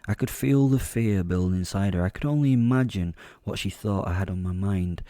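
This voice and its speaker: A man narrates a dark audio drama in a very low register. His voice is soft-edged and mellow, recorded close and dry, with a measured storytelling delivery. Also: dry recording. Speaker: narrator and voice actor. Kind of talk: first-person audio drama narration, horror fiction.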